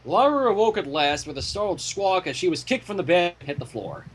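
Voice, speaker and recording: A man reading fan fiction aloud in an animated storytelling style, with casual asides. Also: high voice, a little fast, heavy background noise. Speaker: fan fiction reader and commentator.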